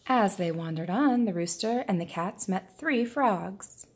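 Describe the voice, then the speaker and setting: A woman reading a children's picture book aloud in a deliberate, expressive storytelling manner. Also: mid-range voice, slightly rough. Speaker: preschool teacher reading aloud.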